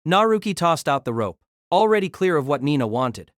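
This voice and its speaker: A woman's voice: low voice, very animated, a little fast, dry recording, clean studio sound. A woman narrating a novel in a scripted, dramatic storytelling style.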